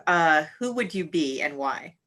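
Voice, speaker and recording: Slow, animated woman moderating. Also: low voice. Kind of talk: casual interview.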